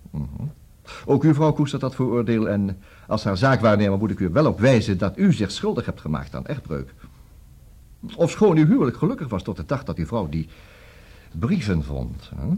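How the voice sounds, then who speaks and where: An actor with a Netherlands Dutch accent playing a busy, wry, smooth-talking divorce lawyer in a formal, fussy manner for a 1960s radio comedy. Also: male voice, fairly low pitch, darkish tone, heavily acted. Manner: formal, fussy, hesitant interjections and repeated words.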